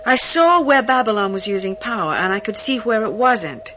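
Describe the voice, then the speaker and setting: A woman's voice: medium pitch, expressive, moderate pace, close to an everyday voice. A woman playing an inquisitive, persistent starship crew member in a 1980 sci-fi radio drama, questioning and insistent. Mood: probing. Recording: muffled, noisy old radio recording.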